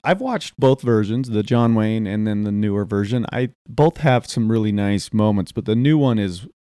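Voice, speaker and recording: A man's voice: fairly low pitch, expressive, normal speed, dark tone, smooth, no room sound, clean studio sound. A man co-hosting a talk show in casual chat.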